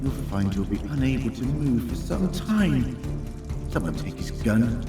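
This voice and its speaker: A man's voice: medium pitch, big pitch swings. Old, authoritative male time-traveller for audio drama, acted by a man; dry wit, music behind, echoey room. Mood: wry, triumphant. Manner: wry, measured, authoritative. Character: very old male time-traveller hero, wry, righteous, commanding. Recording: roomy, distant, with music behind.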